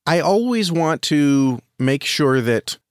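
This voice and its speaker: Male podcast guest, slightly high voice, conversational explainer who doubles back on words, dry close-mic sound. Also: sing-song, slow pace, clear, resonant tone, dry recording. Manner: frequent repeated words as he searches for phrasing. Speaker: podcast guest, audio drama producer and reviewer. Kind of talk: conversational interview, explaining at length.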